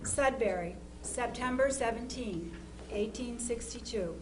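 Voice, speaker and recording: A woman reading as an 1860s letter writer in a dramatization, measured and deliberate, writing to a soldier. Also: fairly high pitch, background noise, natural voice. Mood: measured, earnest. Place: live presentation before an audience.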